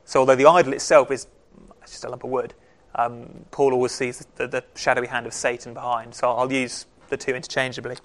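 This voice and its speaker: A man's voice: medium pitch, level tone, brisk. Casual man leading a Bible study. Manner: conversational, with frequent ums and restarts. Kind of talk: casual teaching talk.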